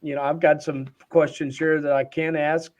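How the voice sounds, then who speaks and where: A man with a slightly high-set voice hosts an interview show. He speaks informally and chattily, feeling his way through sentences in a halting, conversational style. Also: little pitch movement. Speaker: podcast host interviewer.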